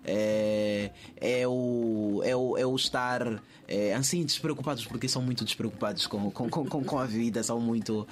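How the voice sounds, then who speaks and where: A man's voice: medium pitch, steady tone, moderate pace, hardly any pauses, slight background noise. A man from Mozambique with a Mozambican accent, answering conversationally as an interview guest, often repeating phrases as he thinks aloud.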